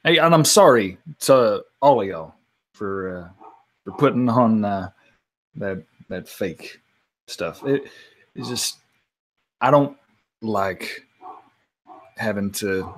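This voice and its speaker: A man improvising casually as a player in a tabletop game, with a joking, halting and conversational way of talking. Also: fairly low pitch, lively intonation, a bit bright, low-quality recording. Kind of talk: casual in-character improv banter.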